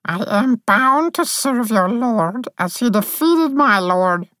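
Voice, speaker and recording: A man voicing a small, gloomy female faerie warrior who speaks in a flat, dreamy monotone with theatrical gloom. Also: sits high, slow pace, no room sound, pitched above the performer's own voice, full character voice.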